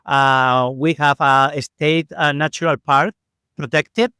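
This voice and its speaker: Winery guy, smooth clear voice, slow and measured. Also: fairly high pitch, steady tone, sharp tone, no room sound. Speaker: winery representative.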